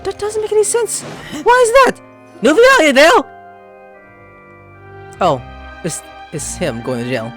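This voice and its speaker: Animated man doing casual gameplay commentary. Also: very high voice, a little slow, long pauses, soft background music. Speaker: gamer.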